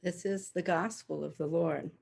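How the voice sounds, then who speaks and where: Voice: mid-range voice, fairly even intonation, moderate pace, creaky, darkish tone, breathy edge. A person reading a prayer poem aloud in a worship service over a video call from home. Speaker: worship reader.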